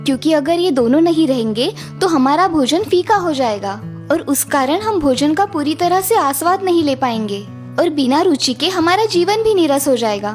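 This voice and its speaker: Woman playing a very high-voiced, sweet young daughter-in-law. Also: animated, normal speed, soft background music. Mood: innocently clever. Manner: polite, deferential. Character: clever, dutiful young Indian daughter-in-law, sweetly polite. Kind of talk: cartoon moral-story voice acting.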